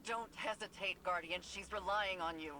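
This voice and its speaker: A determined, battle-hardened soldier voiced by a woman. She speaks in clipped, intense lines in a dramatic sci-fi game style, with clear noise behind her. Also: medium pitch, normal speed, natural voice. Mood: urgent, resolute. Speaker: frontline soldier.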